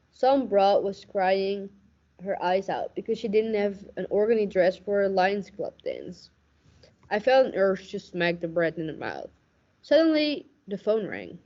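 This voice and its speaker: A young woman playing a cynical, hardboiled police lieutenant with deadpan, tough-talking noir narration in a radio play parody. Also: medium pitch, lightly acted. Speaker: student radio play actor. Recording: dull, muffled lo-fi recording.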